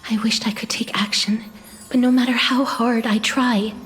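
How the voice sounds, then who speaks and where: An actress playing a gentle, sorrowful young woman in a game cutscene, with a soft breathy voice and a solemn manner. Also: fairly high pitch, fairly even intonation, natural voice. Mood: wistful regret. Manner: slow, solemn, melancholy. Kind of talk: scripted dramatic game cutscene monologue. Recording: reverberant hall, music underneath.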